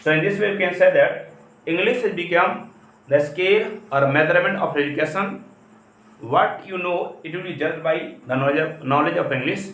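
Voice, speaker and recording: A man from India with an Indian accent and Hindi as his first language, giving an explanatory English lesson as a teacher, with halting, repetitive phrasing. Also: a little high, fairly bright tone. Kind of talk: spoken lesson, explanatory talk. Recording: echoey, roomy sound.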